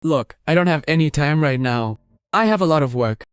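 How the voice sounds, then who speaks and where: A man's voice: fairly high pitch, animated, fairly quick, clean tone, natural voice. A man voicing a busy, dismissive husband in a family cartoon, curt and unyielding. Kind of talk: dubbed cartoon dialogue.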